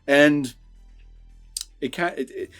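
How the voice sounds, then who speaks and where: A man's voice: on the high side, steady tone, takes it slowly, clear, resonant tone, soft background music. A man chatting informally and enthusiastically, unscripted, as host of a horror film review vlog.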